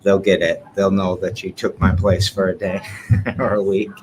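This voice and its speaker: A man's voice: deep voice, flat delivery, normal speed, slight background noise, poor audio. A man hosting a podcast, chatting in a loose, friendly, laid-back way. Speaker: podcast host. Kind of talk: casual interview chat.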